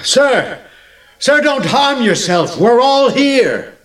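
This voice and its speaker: A man's voice: mid-range voice, very animated, unhurried. A man playing a firm, dignified missionary apostle with an assured, declamatory manner in a dramatized Bible audio drama. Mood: reassuring.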